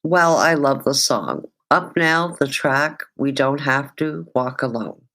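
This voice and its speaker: Music show host, mid pitch, reading out prepared questions for a guest. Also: slow, noisy background. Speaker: radio show host, interviewer. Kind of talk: prepared interview questions and track introduction.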